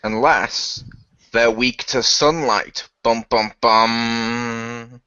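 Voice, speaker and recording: A man chats casually as a player in a radio play, in a medium-pitched voice. The recording is dry and slightly muffled, coming over an online call. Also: fairly even intonation, extremely slow, pushed and bright, clear, resonant tone, no room sound. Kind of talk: casual chat. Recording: dull, dry voice-call audio.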